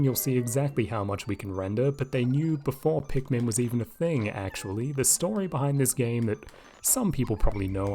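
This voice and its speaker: A man with a slightly raspy voice, casually commenting over gameplay into a desk microphone and thinking aloud with lots of asides. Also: on the low side, dark tone. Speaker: video game commentator. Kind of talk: casual let's-play commentary.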